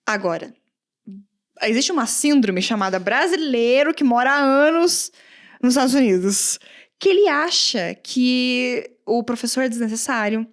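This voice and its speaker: Mulher brasileira animada, voz um pouco aguda. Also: female voice, fairly high pitch, a little slow. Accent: Brazilian Portuguese, countryside. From Brazil. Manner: animated, laughs often, acts out quoted comments. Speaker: guest, English teacher. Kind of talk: casual podcast conversation.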